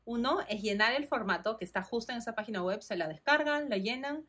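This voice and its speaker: A woman's voice: on the high side, animated, a little fast, a bit bright. A woman in her thirties from Peru with a Peruvian Spanish accent, hosting a podcast and explaining a process in a friendly, step-by-step way. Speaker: podcast host. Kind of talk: informative solo podcast.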